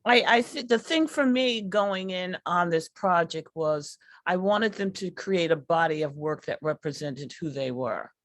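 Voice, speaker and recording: A woman reflecting as a workshop artist on a video call, speaking in emphatic, halting phrases. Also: fairly low pitch, animated, dry recording. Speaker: workshop artist leading the project. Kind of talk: reflective discussion.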